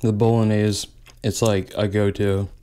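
A young man hosting a mukbang, chatting casually between bites at a table and speaking into a close mic. He talks in a relaxed way and says "like" often. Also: lowish voice, unhurried, slight background noise. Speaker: mukbang host. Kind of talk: casual eating chat, mukbang ASMR.

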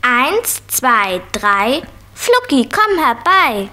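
A little girl of four in a children's radio play. The voice is high and childlike. She is inquisitive and insistent, always wanting to know more. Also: big pitch swings, drawn-out words, sharp tone, exaggerated voice. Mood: pleased, determined. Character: curious, bossy four-year-old girl Julia. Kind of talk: 1970s German children's radio play acting.

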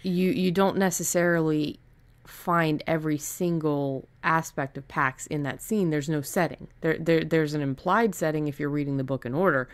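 A woman's voice: low voice, fairly even intonation, normal speed. A woman co-hosting a podcast, explaining writing technique in a conversational way. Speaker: podcast co-host.

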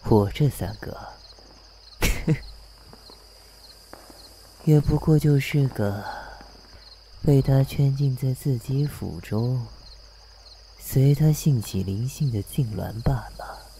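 A man playing a cunning, sardonic prince in a Chinese costume audio drama, speaking in a measured, mocking, theatrical way. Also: medium pitch, creaky, mellow, slight background noise.

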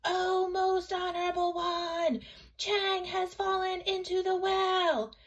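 A woman's voice: high-pitched, normal speed, voice pushed up, lightly acted. A woman voicing an anxious, breathless little boy calling urgently for help in a storybook reading. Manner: panting, urgent, shouting.

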